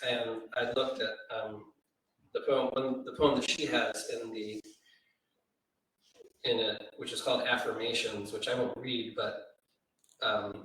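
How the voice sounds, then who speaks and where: A man in an informal literary discussion as a panelist, tentative and halting as he thinks aloud. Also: mid-range voice, fairly bright tone. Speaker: book editor and panelist. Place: indoor event room with audience. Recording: very echoey room, distant mic, faint noise.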